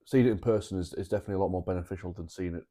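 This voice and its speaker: Very deep, dark-voiced male co-host. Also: very fast. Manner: relaxed, repeats words while thinking. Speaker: podcast co-host. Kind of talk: casual chat.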